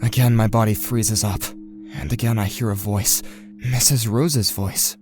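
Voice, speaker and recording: A man's voice: lowish voice, steady tone, unhurried, music in the background, lightly acted. An actor playing an anxious, volatile teenage boy who narrates his own story with tense intensity in an audio drama. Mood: uneasy. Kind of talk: first-person dramatic narration.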